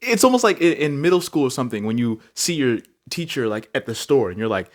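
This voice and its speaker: A young man from Africa co-hosting a faith podcast, musing aloud in casual chat with lots of fillers and lively leaps in pitch. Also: quick pace. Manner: reflective, many filler likes, animated jumps in pitch. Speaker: podcast co-host.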